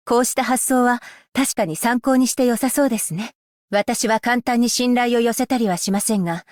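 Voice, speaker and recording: A voice actress playing a stern, dutiful female magistrate-scholar, speaking in a formal, measured and politely stern way for a game's voice lines. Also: fairly high pitch, darkish tone, no room sound, studio quality, lightly acted. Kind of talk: game character voice lines. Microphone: close studio mic, dry.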